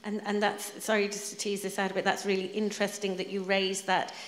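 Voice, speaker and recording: A woman's voice: medium pitch, monotone, a little fast. Woman chairing a panel in an echoing hall. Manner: polite, probing follow-up questions. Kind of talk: moderating a panel. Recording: echoey hall with long reverb.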